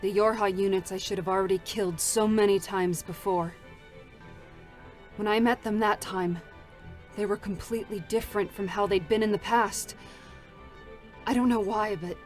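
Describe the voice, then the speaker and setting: A battle-hardened female android deserter, played by a woman, her voice cold, flat and bitter. She sits at a middling pitch, and faint music plays softly beneath her words. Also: moderate pace, long pauses, lightly acted. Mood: somber. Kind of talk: live concert reading of game scenes.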